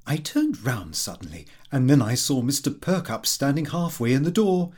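Animated, slightly husky male narrator. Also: mid-range voice, moderate pace. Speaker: audiobook reader. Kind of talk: scripted narration of a comic first-person diary.

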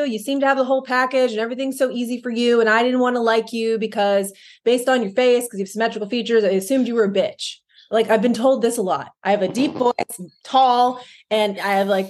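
Woman co-host, casual podcast chat, emphatic and lively, slightly higher voice. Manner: animated, emphatic, laughs often.

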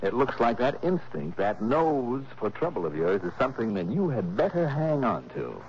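An actor playing a cool, dogged insurance investigator in a 1960s radio drama, speaking in a clipped, dry, commanding way. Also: male voice, mid-range voice, wildly swooping pitch, normal speed. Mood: wry, relaxed. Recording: noisy, dull old radio recording.

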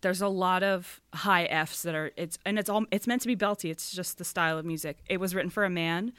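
A young adult woman in a casual podcast conversation, voicing firm opinions and turning emphatic as she argues. Her pitch sits a little low for a woman. The sound is close and dry, with no room echo. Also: brisk, smooth. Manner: opinionated, gets animated and emphatic. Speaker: podcast co-host. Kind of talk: casual chat.

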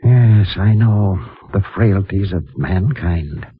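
An actor playing a kindly, righteous mission priest in a gentle, measured, formal manner, in a 1950s radio drama. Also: male voice, low voice, husky, no echo, full character voice. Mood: guarded, concerned. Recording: muffled, narrow-band vintage radio recording.

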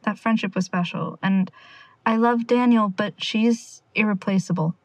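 A woman playing a wry young amateur investigator in an audio drama, quietly talking through her notes in a rambling, sardonic, confiding way. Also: medium pitch, measured pace, no room sound, natural voice. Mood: wistful. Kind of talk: audio drama monologue, casual confiding log.

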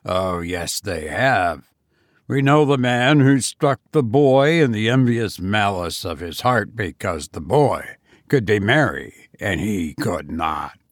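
A man voicing a stern, menacing goblin with a deep voice, taunting slowly in a storybook reading. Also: expressive, no echo, studio quality. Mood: accusing.

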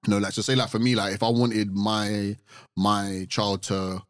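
A man's voice: fairly low pitch, level tone, moderate pace, clear, resonant tone, no echo, clean studio sound. A man, talking casually on a podcast in a thoughtful way, with hesitant restarts.

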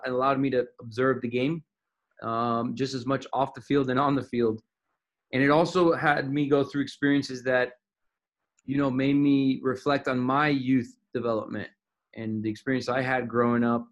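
A man's voice: mid-range voice, steady tone, normal speed, dull audio. A man from Miami, a soccer coach, answering interview questions thoughtfully with frequent restarts and fillers. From Miami, Florida.

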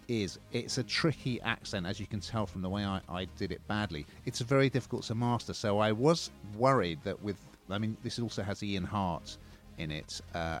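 A man co-hosting a review show in a lowish voice. He talks in a relaxed, casual way with a dry, wry humour, over faint music. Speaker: co-host reviewing TV and film.

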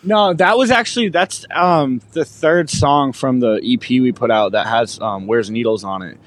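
A young man from Alberta, a musician guest, talking in a relaxed, rambling way full of fillers. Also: mid-range voice, moderate pace, no room sound. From Alberta, Canada. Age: young adult. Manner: laid-back, rambling, with many fillers. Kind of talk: casual interview chat.

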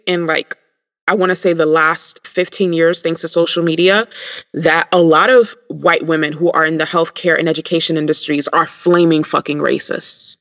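A man's voice: fairly high pitch, sharp tone. A man co-hosting a podcast in casual chat, talking listeners through his points with lots of ums. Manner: chatty, many ums, addresses listeners. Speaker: podcast co-host. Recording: dull lo-fi sound.

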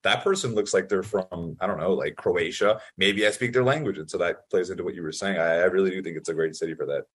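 A man's voice: low-pitched, slightly rough. A young man, born in Italy, telling stories in a casual interview with lots of fillers and acted-out quotes. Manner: fast, animated storytelling full of fillers. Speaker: podcast guest.

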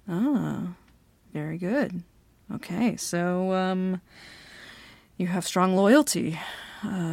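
A woman playing a slow, teasing bossy female CEO. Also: fairly low pitch, vocal fry, dry recording, full character voice. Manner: soft, hesitant with many fillers, teasing. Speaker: ASMR roleplay performer. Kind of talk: flirty ASMR roleplay.

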